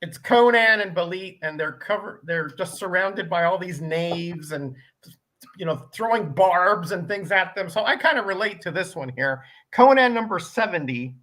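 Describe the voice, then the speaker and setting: An easygoing panelist who meanders through a point in a middle-pitched voice. The talk is loose and unhurried. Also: expressive, a bit bright. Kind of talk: casual panel chat.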